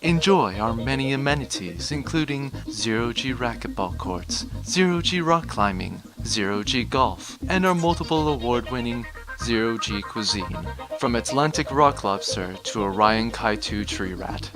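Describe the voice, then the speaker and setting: A man plays a slick male pitchman for a space cruise line in a sci-fi audio drama. The delivery is polished and promotional, a mid-pitched commercial read with music clearly playing behind it. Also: lively intonation, normal speed, few pauses, lightly acted, poor audio. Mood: smooth selling. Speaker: advertisement announcer. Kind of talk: scripted parody commercial voice-over.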